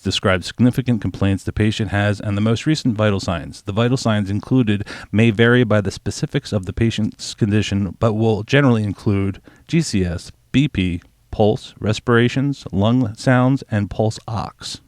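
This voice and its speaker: A male narrator with a low-pitched voice reads scripted instruction. The sound is dry, with no room around it. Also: normal speed, hardly any pauses, darkish tone, no echo.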